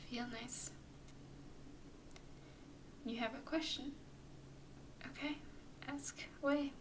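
A woman playing a tender, doting vampire girlfriend in a soothing ASMR roleplay, mostly in breathy whispers. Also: a little high, recorded from a distance, background noise. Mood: affectionate, playful. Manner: soothing, affectionate, unhurried. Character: tender, doting female vampire girlfriend comforting her nervous partner.

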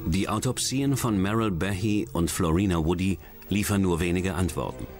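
A man narrates a documentary from a script. His voice sits fairly low, and music plays clearly behind him as he reads. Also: normal speed. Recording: loud music bed under voice.

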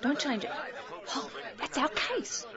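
Woman, acted, audio drama, eager flustered case worker, animated, muffled sound over music and crowd. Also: sits high, a little slow. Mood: excited. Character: eager, flustered female heavenly case worker, stubbornly hopeful.

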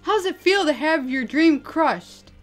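Woman acting a gloating, theatrical male villain, unhurried. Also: sits high, expressive, soft background music. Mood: mocking glee. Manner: mocking, melodramatic, grandiose. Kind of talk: anime-style dramatic character acting.

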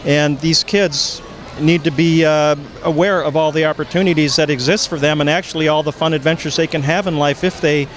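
Measured man, slightly high voice. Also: steady tone, a little hiss or hum. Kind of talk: on-camera interview.

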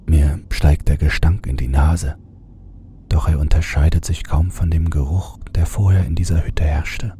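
A man narrating a scripted horror audiobook in a deliberate, suspenseful manner, sometimes hushed. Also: rumbling low, steady tone, a little hiss or hum.

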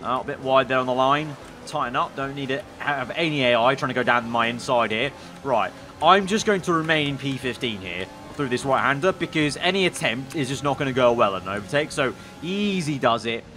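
A young man commentating a racing game, excitable and slightly hoarse, breaking into shouts at crashes. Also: mid-range voice, fairly quick, faint music behind. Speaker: gaming YouTuber.

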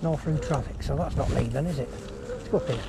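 A man's voice: medium pitch, fast. A man giving casual, rambling running commentary as he cycles outdoors, thinking aloud and reading out signs. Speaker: vlogger on an electric bike ride. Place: outdoors on roads, riding a bicycle. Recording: outdoor action-camera audio with clear wind and traffic noise.

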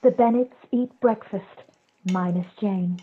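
A woman's voice: medium pitch, unhurried, slightly rough. A woman reading scripted narration for a radio play over a video call. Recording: dull video-call audio.

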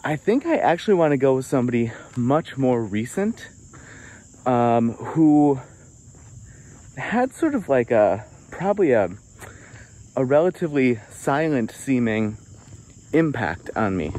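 A man's voice: mid-range voice, big pitch swings, a little slow, long pauses, mellow. A man in his thirties musing casually while walking a wooded trail, filming himself on a phone at arm's length, with many fillers and restarts. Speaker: vlogger answering viewer questions. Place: outdoors on a wooded forest trail.

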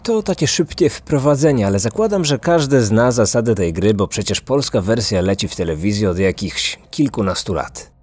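A man's voice: fairly low pitch, expressive, normal speed, few pauses, a little mellow. A man from Poland in his thirties narrating a scripted story as a podcast host. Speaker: true crime podcast host. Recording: music bed behind the voice. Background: background music.

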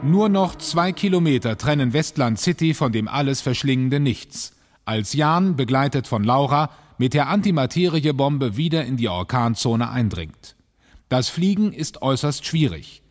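A man's voice: medium pitch, lively intonation, moderate pace, big-room sound, faint music behind. A man narrating a children's audio drama in a dramatic, scripted style.

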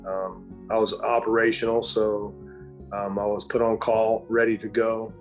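A man's voice: fairly low pitch, level tone, measured pace, faint music behind. A man in his forties, a Navy senior chief, speaking plainly and with some hesitation on a webcam from a room at home. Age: 40s. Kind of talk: recorded testimonial.

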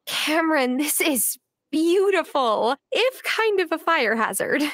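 An actress voicing a sincere, gentle young woman in love in a video game cutscene. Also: sits high, big pitch swings, slow, no room sound, full character voice. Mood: affectionate.

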